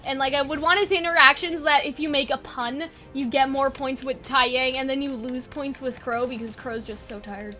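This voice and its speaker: Bright, high-pitched chatty woman. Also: steady tone, normal speed, few pauses, soft background music. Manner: chatty, informal, thinks aloud with frequent "like". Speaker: online video creator and host. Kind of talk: casual fan brainstorming chat.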